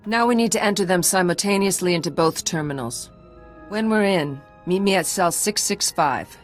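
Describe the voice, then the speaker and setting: A stern warrior woman from a space video game, played by an actress, speaking in a fairly low register. She is terse and commanding with a grim edge. Music sits underneath. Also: normal speed, natural voice. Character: stern, curt female Mandalorian warrior.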